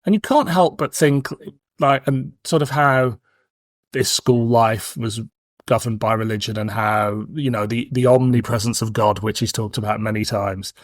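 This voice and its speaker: A man's voice: medium pitch, fairly even intonation, moderate pace, dry recording, clean studio sound. A man in his forties with a British accent, hosting a podcast in a casual, cheeky, frank chat. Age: 40s. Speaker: podcast host.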